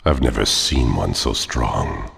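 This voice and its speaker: An actor voicing a sinister, ancient, condescending mastermind in a video game, with a raspy voice and menacing calm. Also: slow, big-room sound, full character voice. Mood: awed, sinister. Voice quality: very deep, gravelly, raspy. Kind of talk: video game villain acting.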